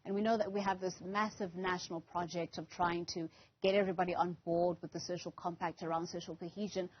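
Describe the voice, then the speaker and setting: A woman with a South African accent asking wordy, measured questions as a news interviewer. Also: fairly low pitch, level tone, no echo, highs cut off.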